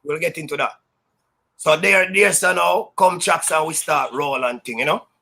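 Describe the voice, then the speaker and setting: A Jamaican man who works as a sound system DJ, telling stories in a loud, high-energy, boastful way that is full of patois. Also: normal speed, gravelly. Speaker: sound system DJ, interview guest. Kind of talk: casual storytelling interview.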